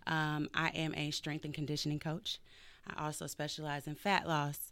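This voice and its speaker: Upbeat fitness coach in her thirties, low voice, from Atlanta, dry studio sound. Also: completely flat delivery, normal speed, a bit bright, clear, resonant tone, dry recording. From Atlanta, Georgia. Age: 30s. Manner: upbeat and playful. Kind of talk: casual interview chat.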